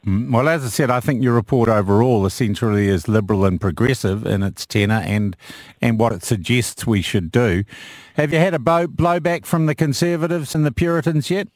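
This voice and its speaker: Dry-sounding New radio host. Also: male voice, medium pitch, a little mellow, no echo. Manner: conversational, a bit halting in questions. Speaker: radio talk show host. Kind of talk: radio interview.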